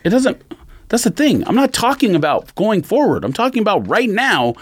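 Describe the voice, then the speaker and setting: A man's voice: high-pitched, big pitch swings, normal speed, husky. A man co-hosting a sports podcast, talking casually and arguing his points in an emphatic, insistent way.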